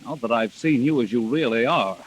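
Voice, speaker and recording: An earnest, troubled husband in a 1940s radio mystery as a man acts him. His pitch swings widely in dramatic, emphatic phrases, with a faint hiss over the old recording. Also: expressive, brisk, natural voice, rough recording. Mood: angry, scornful.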